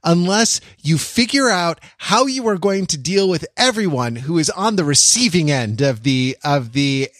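A man's voice: on the high side, expressive, moderate pace, few pauses, a bit bright, no room sound, studio quality. A man hosting a casual podcast discussion in a reflective, wry way, restating phrases as he feels his way through a thought. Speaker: podcast host.

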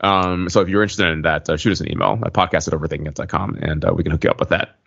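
A man's voice: low voice, fairly even intonation, very fast, creaky, fairly bright tone. A man co-hosting a podcast in a casual, conversational chat. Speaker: podcast host. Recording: dull, lo-fi audio.

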